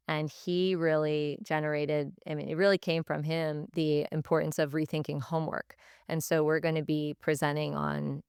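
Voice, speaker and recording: A woman's voice: low voice, clear, resonant tone, dry recording, clean studio sound. A woman speaking as a teacher being interviewed, giving reflective answers in thoughtful, stop-and-start phrasing that repeats itself. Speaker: teacher and language department head, podcast guest.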